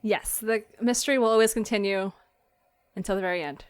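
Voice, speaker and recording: A woman's voice: medium pitch, fairly quick, clean tone, no room sound. A woman in a casual chat, hosting a podcast and giggling often as she talks. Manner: giggly, often laughs while talking. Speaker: fiction podcast writer and host.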